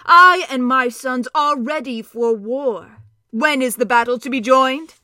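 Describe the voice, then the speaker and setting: A woman's voice: sits high, measured pace, sharp tone, dry recording, clean studio sound. A woman voicing a stern, noble male centaur prophet who speaks in solemn declarations.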